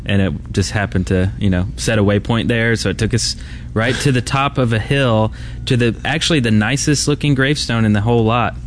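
A man's voice: lowish voice, brisk, few pauses. A man co-hosting a gaming podcast with casual banter, recorded on a convention floor. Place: busy convention hall floor. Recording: noisy convention floor ambience. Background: convention floor background noise.

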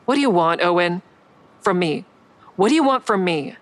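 A woman's voice: on the low side, expressive, moderate pace, no echo, close to an everyday voice. An actress playing a guarded, sardonic woman in an audio drama, dry and wry in dialogue and first-person narration. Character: guarded, sardonic woman, crisis counselor with secret kills.

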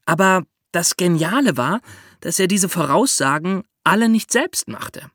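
A man's voice: sits high, animated, measured pace, a bit bright, no echo, clean studio sound. A man co-hosting a history podcast, explaining the story with chatty asides. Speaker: podcast host.

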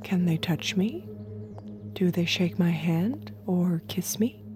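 A woman playing an obedient, observant android escort, speaking in a measured, intimate way in an audio drama. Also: lowish voice, lively intonation, over music. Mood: detached, wistful. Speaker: narrator and lead character.

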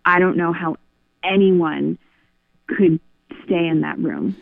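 A woman's voice: fairly low pitch, slow pace, gravelly, no room sound. A woman caller telling a story casually over a phone line. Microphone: phone call. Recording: narrow tinny phone line.

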